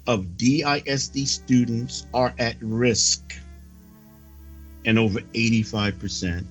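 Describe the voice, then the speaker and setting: A man reading out and commenting on a report like a preacher, deliberate and emphatic, with dramatic pauses. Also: on the low side, poor audio. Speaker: religious speaker reading a prophecy report. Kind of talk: sermon-like report, reading and commenting on a news article.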